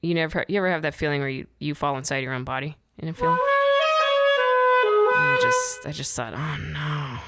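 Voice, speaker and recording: A woman comedian guest with a deep voice, telling a rambling, giggly story in casual chat. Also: sing-song, a little fast, loud music behind the voice. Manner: giggly, rambling, self-correcting.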